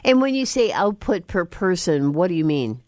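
A woman's voice: on the low side, very animated, moderate pace, a little mellow, no echo, clean studio sound. A woman hosting a public radio interview, asking friendly, rambling questions and laughing easily. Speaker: radio host.

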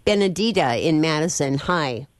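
A woman with a lowish voice hosts a public radio call-in hour. She speaks briskly and keeps the show on schedule. The studio sound is dry and close. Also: lively intonation, no room sound. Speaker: radio talk show host.

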